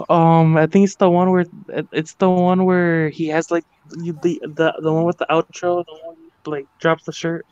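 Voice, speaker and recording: A man's voice: on the high side, level tone, normal speed, a little mellow, clean tone, no room sound. A man from the United States in a casual chat, talking hesitantly and searching for words. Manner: hesitant, many fillers and restarts. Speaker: guest. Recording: dull, dry sound.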